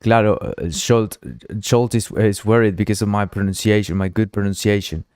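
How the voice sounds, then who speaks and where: A man with a Uruguayan Spanish accent hosts a casual live coding stream, rambling, repeating words and reading viewer messages aloud. His voice sits a little low and is heard dry and close, with no room sound. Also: steady tone, normal speed, a little mellow, clean tone, no room sound. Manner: rambling, repeats himself, reads chat aloud; drinking while streaming. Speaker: livestream host.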